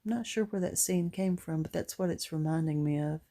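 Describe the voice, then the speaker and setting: Gravelly, low-voiced woman chatting over crafts. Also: warm tone. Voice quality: gruff, gravelly. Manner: chatty, rambling, unhurried. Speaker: craft vlogger. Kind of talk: casual craft tutorial voice-over.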